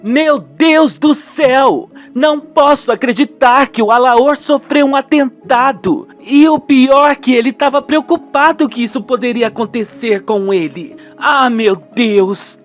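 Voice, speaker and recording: Man voicing an anguished mother, high-pitched. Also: measured pace, hardly any pauses, faint music behind. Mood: anguished, disbelieving. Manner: dramatic, anguished. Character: distressed middle-aged mother at her husband's hospital. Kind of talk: radio soap acting.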